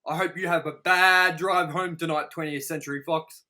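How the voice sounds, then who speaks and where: A man co-hosting a casual, comedic movie review, excitable and joking, with some swearing. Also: on the high side, moderate pace. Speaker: movie review show host.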